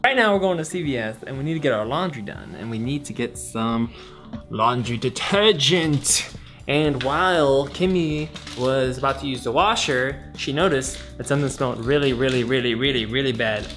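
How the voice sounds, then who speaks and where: A man's voice: medium pitch, moderate pace, fairly bright tone, faint music behind. A young man vlogging casually into a handheld camera in his apartment, playful and goofy. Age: young adult. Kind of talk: casual vlog talk. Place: apartment indoors. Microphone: handheld camera at arm's length.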